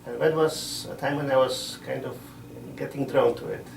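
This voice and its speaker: A middle-aged man, a missionary priest answering interview questions in a measured way, often pausing to find words. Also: medium pitch, steady tone, vocal fry, background noise. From Poland. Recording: roomy sound from a distant mic.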